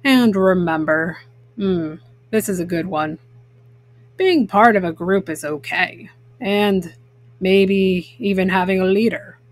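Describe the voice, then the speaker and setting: A woman voicing a folksy old male bear farmer, calm, wise and plain-spoken, giving gentle advice in a children's storybook. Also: mid-range voice, slow pace, no echo, pitched below the performer's own voice, close to an everyday voice. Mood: wise, advising.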